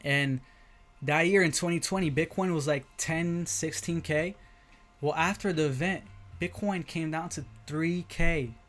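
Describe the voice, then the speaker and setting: A man's voice: fairly high pitch, a little slow, fairly bright tone, faint music behind. A man with an American accent talking casually and informally as a livestream host, repeating himself and often checking in with listeners. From Brazil, moved to the United States as a young child. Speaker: livestream host, crypto trader.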